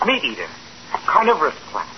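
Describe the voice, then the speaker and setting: A creepy invalid with a poison obsession, high-pitched and sing-song, old lo-fi radio drama. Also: male voice, huge pitch swings, fairly quick, slight background noise. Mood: sinister. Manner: relishing, sinister, fussy. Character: sickly pale young man, poison-obsessed invalid. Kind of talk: 1940s radio acting. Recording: dull lo-fi old radio broadcast.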